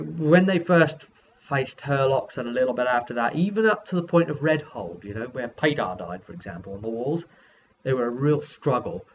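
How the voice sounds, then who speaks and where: A man's voice: mid-range voice, fairly bright tone. A man speaking as a game master, casually rambling through a recap of a session and acting out players' reactions as he goes. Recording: muffled lo-fi with background hiss.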